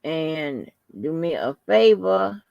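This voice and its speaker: A woman hosting a casual livestream, rambling informally to her viewers in a soft, calming voice. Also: low voice, takes it slowly, creaky, dry recording, dull audio. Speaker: livestream host.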